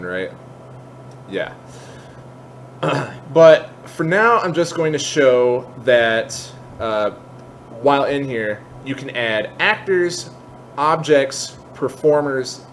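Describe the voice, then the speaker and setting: A man with an average-pitched voice hosts a screen-share tutorial. He explains things informally, piece by piece, often thinking aloud. A noticeable bed of background noise sits under the recording. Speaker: tutorial host. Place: indoors at a computer, voice-over for screen capture.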